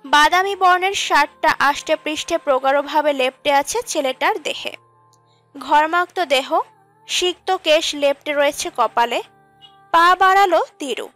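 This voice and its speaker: A female narrator tells a romantic story from a script. Her voice sits high for a woman. Music plays underneath the narration. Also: a little slow, fairly bright tone. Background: background music.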